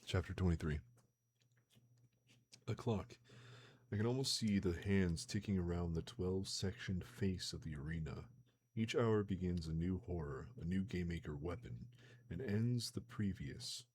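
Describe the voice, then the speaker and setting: A man with a slightly hoarse voice reading a novel aloud on a live stream, slipping into casual slangy remarks between passages. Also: low-pitched, normal speed. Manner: reads steadily, breaks into excited slangy chat.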